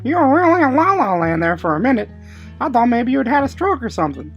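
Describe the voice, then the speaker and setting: A man's voice: very high-pitched, sing-song, fast, husky, dull audio, soft background music, full character voice. A man voicing a stern, incredulous school disciplinarian with a formal manner in a comedy puppet show. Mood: stern, baffled.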